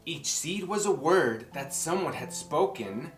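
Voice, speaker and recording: A man's voice: fairly high pitch, animated, measured pace, soft background music. A man presenting and reading children's books as a story-time host, with a theatrical, exclamatory manner that speaks straight to young listeners. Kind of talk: animated children's book presenting and read-aloud. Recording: roomy echoing room sound.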